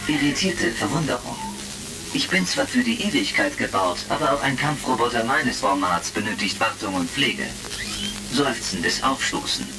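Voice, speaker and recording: A huge combat robot, polite and pedantically exact, in an old sci-fi radio play. The voice swings widely in pitch with a creaky edge. It comes over a crackly vintage record with music behind. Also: male voice, expressive, normal speed, far from the mic. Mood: weary surprise. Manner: announces its emotions aloud, pedantically precise. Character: huge combat robot, polite, literal-minded, slightly put-upon. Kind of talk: retro science-fiction radio drama acting.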